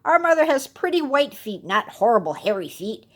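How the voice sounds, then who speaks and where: Lady doing little baby goat kids, squeaky high, earnest, storybook style. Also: big pitch swings, moderate pace, a bit bright. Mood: wary, defiant. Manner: childlike, earnest, emphatic. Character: seven little goat kids, young, childlike and wary. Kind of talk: children's storybook character voice.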